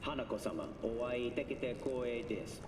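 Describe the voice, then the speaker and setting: A man plays a courteous TV interviewer in a game. His lowish voice sounds far away and washed in echo, with clear noise around it. The tone is formal and respectful. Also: lively intonation, quick pace, natural voice. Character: deferential, polite Japanese television interviewer man. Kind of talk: broadcast interview inside a video game. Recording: distant, echoey, noisy television sound.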